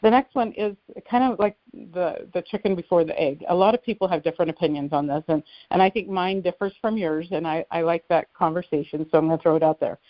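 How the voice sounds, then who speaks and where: A woman with a fairly low voice speaks as a caller, explaining things casually and earnestly, often qualifying what she says. The phone conference audio is dull and dry. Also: quick pace, hardly any pauses, dry recording. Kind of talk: casual explanatory call-in talk. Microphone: telephone conference line.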